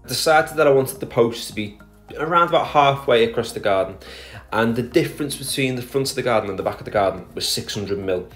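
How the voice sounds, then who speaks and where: A man's voice: medium pitch, quick pace, fairly bright tone, soft background music. A man narrating a voice-over about his garden project, explaining his steps in a plain, methodical way with speech and small restarts. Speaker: garden DIY vlogger.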